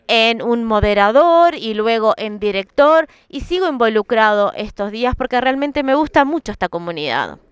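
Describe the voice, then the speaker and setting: A woman's voice: a little high, smooth, no room sound, dull audio. A woman working as a simultaneous interpreter, rendering a panel speaker's remarks live.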